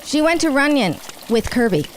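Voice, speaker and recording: A woman's voice: high-pitched, sing-song, normal speed, a little hiss or hum. An actress playing a sarcastic, defiant young woman in a radio drama. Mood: snide. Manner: sarcastic, challenging.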